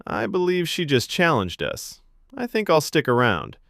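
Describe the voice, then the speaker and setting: A man's voice: a little high, huge pitch swings, moderate pace, gravelly, fairly bright tone, no echo, voice pushed up, full character voice. A man voicing a confident, wry young man with a casual, dry-humored manner in an audio story. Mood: cocky.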